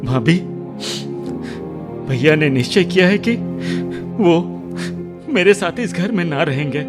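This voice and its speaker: A man playing a remorseful, tearful younger brother in a radio drama, pleading haltingly and repeating his words. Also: on the high side, animated. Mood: sorrowful, resigned. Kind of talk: Hindi radio drama acting. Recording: music under the voice.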